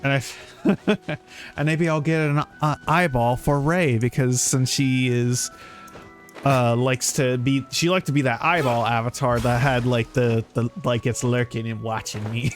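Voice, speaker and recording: A man's voice: medium pitch, steady tone, unhurried. Casual rambling male streamer over music. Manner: rambling, informal. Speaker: livestreamer playing a video game. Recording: music playing behind the voice.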